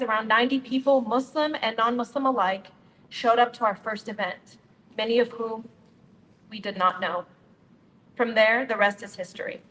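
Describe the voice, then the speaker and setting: A woman's voice: a little high, fairly bright tone. A young woman from Utah, a nonprofit co-founder, giving a friendly, upbeat prepared speech to a community gathering. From Utah, United States. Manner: upbeat, friendly, addresses the crowd directly. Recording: reverberant hall, faint ambient noise.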